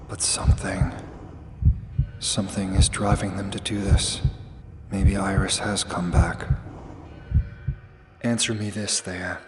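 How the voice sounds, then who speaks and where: A man playing a brooding human rebel in a sci-fi audio drama, talking low and hushed. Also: heavy background noise, natural voice. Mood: urgent, questioning. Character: tense young man, human rebel guiding fugitive androids.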